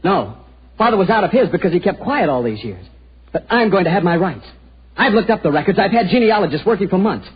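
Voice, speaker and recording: An actor playing a bitter, aggressive American heir in 1940s radio style, sarcastic and taunting. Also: male voice, high-pitched, sing-song, fast, husky, full character voice. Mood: defiant, taunting. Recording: muffled old radio recording.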